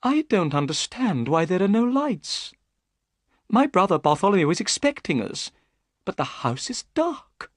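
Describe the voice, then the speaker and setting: A reader voicing a nervous gentleman who asks anxious questions in a raised voice. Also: medium pitch, sing-song, unhurried, no room sound, studio quality, pitched above the performer's own voice.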